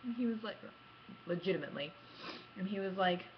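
A young adult woman chatting casually to camera as a gaming vlogger, with an animated, self-deprecating manner and dramatic emphasis. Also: medium pitch, a little fast. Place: small room at home, indoors. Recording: muffled lo-fi webcam audio, background noise.

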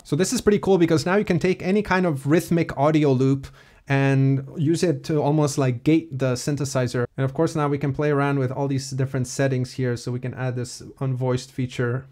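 A man giving a casual, explanatory software walkthrough as a music production tutorial presenter. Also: medium pitch, steady tone, brisk.

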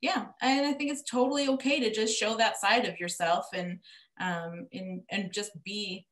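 A woman's voice: medium pitch, fairly even intonation, normal speed, a bit bright. Woman in her thirties whose first language is Greek, hosting a casual webinar over a video call. She talks in a friendly, rambling way, searching for words, and her voice rings in a roomy, echoey space. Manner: friendly, rambling, lots of fillers. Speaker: webinar host.

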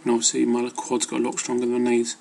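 A man's voice: mid-range voice, completely flat delivery, fast talker, vocal fry, a little mellow. A man giving casual, rambling advice as an amateur fitness vlogger, hesitant and loosely worded.

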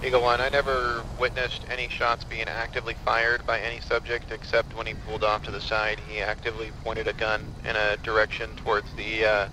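A man playing a police officer giving formal, measured reports over the radio in an online role-play. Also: on the low side, monotone, close to an everyday voice. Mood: businesslike. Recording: noisy gaming radio chat.

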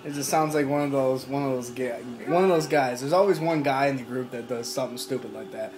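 A man's voice: medium pitch, fairly quick. A young man vlogging casually to his phone camera, rambling with lots of fillers and restarts. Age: young adult. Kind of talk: casual vlog chat to camera. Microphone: phone at arm's length.

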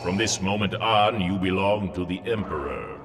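An actor playing a cold, menacing armoured dark lord in an audio drama, commanding and deliberate. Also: male voice, low voice. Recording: loud orchestral music behind.

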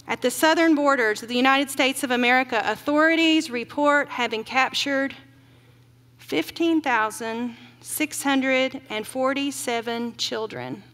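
A middle-aged woman giving an earnest keynote sermon from a podium on a large auditorium stage, slowing down to stress grim facts. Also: high-pitched, a bit bright. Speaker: keynote speaker. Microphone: podium mic. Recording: long hall reverb.

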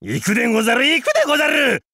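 An actor playing a boisterous, crude and goofy male pirate captain in a game, with a gravelly, husky voice and a hammy, theatrical, jokey way of talking. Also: extremely high pitch, very animated, very fast, bright, forceful tone, dry recording, studio quality, heavily acted. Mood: hammy battle cries. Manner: hammy, theatrical, jokey otaku-style speech. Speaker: game character voice. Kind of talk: voiced game character lines.

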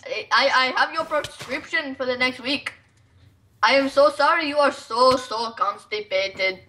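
A woman's voice: high voice, a little slow, pushed and bright. A woman voicing a polite, apologetic pharmacist with an Indian accent as a comic caricature. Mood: mock apologetic. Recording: distant, noisy gaming recording.

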